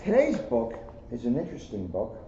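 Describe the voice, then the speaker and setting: A man's voice: medium pitch, wildly swooping pitch, fairly quick, warm tone. A man who works as a police officer, reading a children's book aloud indoors in a storytelling style. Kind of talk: read-aloud storytelling with casual asides. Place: indoors, second floor of a borough hall. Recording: roomy echo, dull lo-fi sound, clear noise.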